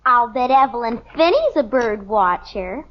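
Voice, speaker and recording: A woman's voice: on the high side, sing-song, unhurried. An actress playing a pesky, literal-minded little girl with an American accent, whiny and teasing, in an old radio sitcom. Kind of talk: 1950s radio sitcom acting. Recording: noisy dull old radio recording.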